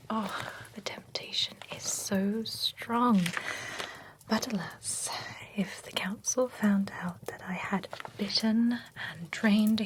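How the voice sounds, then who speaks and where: A fussy female vampire spa groomer, played by a woman, whispers close to the mic in an ASMR roleplay. She gossips primly in a slow, unhurried drawl. A clear steady hiss sits under the whispering. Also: medium pitch, close to an everyday voice. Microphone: close sensitive ASMR mic.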